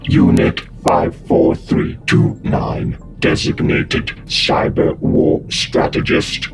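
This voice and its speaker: A man playing a cold, ruthless Cyberman controller in a sci-fi audio drama, giving halting, imperious commands through robotic voice processing. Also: over music. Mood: cold, commanding.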